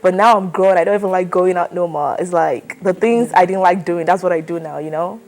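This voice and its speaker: A woman in her twenties from Nigeria, answering an oral history interview in a relaxed, chatty way with lots of fillers. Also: lowish voice, brisk, low-quality recording. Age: 20s. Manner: relaxed, chatty, many fillers like "like" and "you know".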